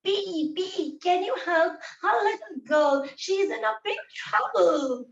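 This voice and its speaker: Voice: very high voice, lively intonation, takes it slowly, gravelly, full character voice. Tiny sweet butterfly, voiced by a player. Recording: echoey lo-fi call audio.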